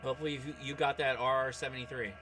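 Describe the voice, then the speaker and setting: A man hosting a hobby livestream, chatting casually and reacting aloud in an excitable way, often addressing people by name. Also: medium pitch, very fast, music in the background, rough recording. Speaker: host of a ham radio livestream. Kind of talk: casual livestream chat and running commentary while operating radio.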